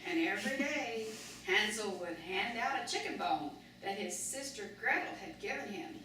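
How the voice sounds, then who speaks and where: A woman tells a storybook tale for children as a live puppet show narrator. Her voice is a little high. It comes from a distant mic in a very echoey room with clear background noise. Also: lively intonation, moderate pace, sharp tone.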